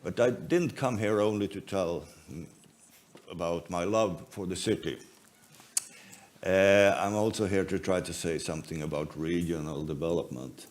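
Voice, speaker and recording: A man in his seventies from northern Sweden, speaking English with a Swedish accent in a measured, pausing conference talk with dry understatement. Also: deep voice.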